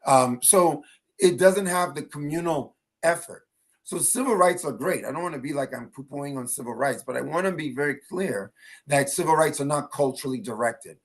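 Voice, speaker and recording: A man's voice: fairly high pitch, normal speed. A man speaking as a panelist in an impassioned, explanatory way, stressing points and often checking in with the listener. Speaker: panelist, engineer and cultural rights advocate. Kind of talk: impassioned advocacy talk at a virtual panel.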